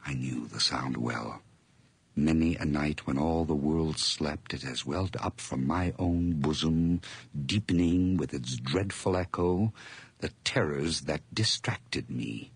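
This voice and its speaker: A sly, obsessive madman in a gothic radio drama. The voice is low and very husky, often close to a whisper. He is theatrical and slow, savoring words with gloating relish. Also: no room sound, full character voice. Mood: brooding, morbid. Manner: theatrical, deliberate, lingering on repeated words.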